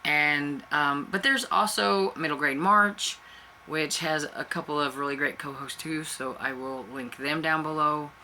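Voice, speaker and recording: Bright-voiced woman with a stuffy cold. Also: deep voice, steady tone, unhurried, a little hiss or hum. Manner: rambling and chatty, with many fillers and self-restarts. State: stuffy-nosed with a cold, throat dry and raspy. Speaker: book channel host. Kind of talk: casual chat to camera.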